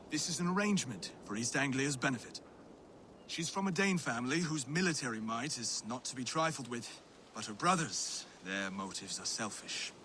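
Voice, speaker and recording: An actor playing an earnest, mild-mannered Saxon noble in a video game, speaking in a courteous, formal and hesitant way. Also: male voice, mid-range voice, bright, forceful tone, slight background noise. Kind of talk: dramatic video game acting.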